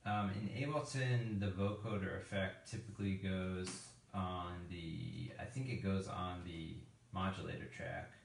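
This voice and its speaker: A man with a low voice that creaks into fry talks through a music software demonstration. He is informal and thinks out loud as he works. The room echoes around him. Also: fairly even intonation, unhurried, hardly any pauses, a little hiss or hum. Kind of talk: casual hands-on software tutorial.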